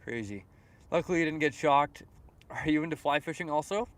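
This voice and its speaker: A man's voice: on the high side, a little fast. Chatty young Canadian man livestreaming outdoors. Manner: rambling, repeats words, chuckles often. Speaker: fishing livestreamer. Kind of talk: casual live-stream chat reading comments. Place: outdoors at a lake.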